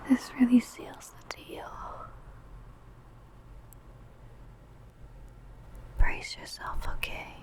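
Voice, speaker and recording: Woman acting a slow, whispering, possessive vampire woman. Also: sits high, lo-fi sound, slight background noise. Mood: hungry, obsessed. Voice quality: breathy whisper. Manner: slow, intimate, savouring phrasing. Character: possessive, predatory female yandere vampire, teasing and menacing. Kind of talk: ASMR roleplay, whispered.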